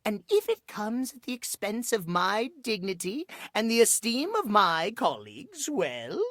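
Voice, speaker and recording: A high-voiced gentleman timepiece from a video game. He is prim, anxious and easily smitten, with formal old-fashioned diction. His delivery is unhurried but theatrical, leaping up and down dramatically in pitch. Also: sing-song, no room sound, studio quality, full character voice. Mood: earnest, lonely. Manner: theatrical, emotional swings. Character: prim, lovestruck, anxious male living timepiece, fussy about schedules. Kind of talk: video game character acting.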